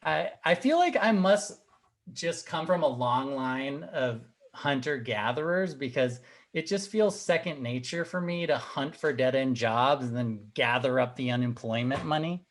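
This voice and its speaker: A man's voice: mid-range voice, lively intonation, measured pace. A young man doing a stand-up set over a video call, firing off punchy, self-deprecating one-liners. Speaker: comedian and host.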